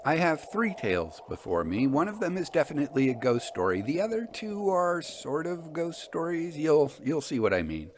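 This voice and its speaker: A man hosting and narrating old ghost stories in a measured, theatrical storytelling manner. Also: fairly high pitch, sing-song, slight background noise. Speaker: host and storyteller.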